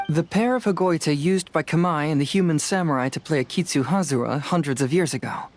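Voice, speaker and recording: A clever young male detective in a video game, acted by a man. His voice is on the high side, and he lays out his reasoning with easy confidence. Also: brisk, lightly acted. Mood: assured, deducing. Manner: assured, explanatory deduction.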